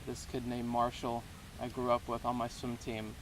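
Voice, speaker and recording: A man in his twenties from Texas, answering interview questions in a relaxed, rambling way with lots of hedges. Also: medium pitch, normal speed. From Houston, Texas. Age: 20s. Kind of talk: casual unscripted interview. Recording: noisy background.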